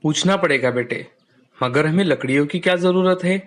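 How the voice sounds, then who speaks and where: A man plays a hardworking rickshaw-driver father in a storybook cartoon. He sounds polite and earnest, in a slightly high voice. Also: normal speed, close to an everyday voice. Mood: thoughtful.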